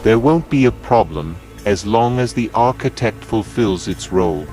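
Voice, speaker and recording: An actor playing a composed, cunning villainous conspirator, measured and knowing, in an anime-style dub. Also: male voice, on the low side, animated, darkish tone, faint music behind, close to an everyday voice. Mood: calm, calculating.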